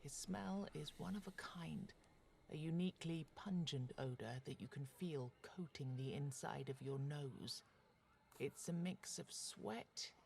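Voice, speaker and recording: A dark fantasy game narrator with a strongly gravelly, breathy voice. The delivery is scripted storytelling, set over faint background ambience. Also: medium pitch, slight background noise.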